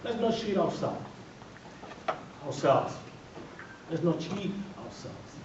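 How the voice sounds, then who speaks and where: A man's voice: a little high, expressive, slow, noisy background. Dominican-accented man speaking from afar in an echoey hall. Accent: Dominican Spanish. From Dominican Republic. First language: Spanish. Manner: emphatic, repetitive, builds to firm declarations. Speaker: mayoral candidate. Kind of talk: campaign speech at a forum. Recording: distant, echoey, dull radio recording.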